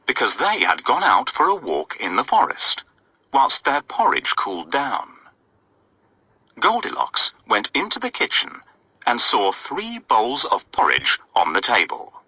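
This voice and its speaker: A man's voice: on the low side, bright, forceful tone, slightly rough, no echo. A man narrating a scripted children's story expressively, often putting questions to his young listeners.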